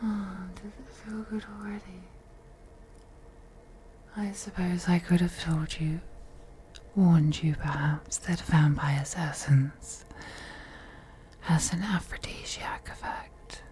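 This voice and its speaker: A woman's voice: lowish voice, fairly even intonation, mellow, a little hiss or hum, low-quality recording. A woman playing a wealthy, possessive female vampire in an intimate audio roleplay, speaking in a whispery, teasing, condescending way. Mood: seductive, hushed. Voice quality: breathy, whispery. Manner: slow, purring, condescending, deliberate.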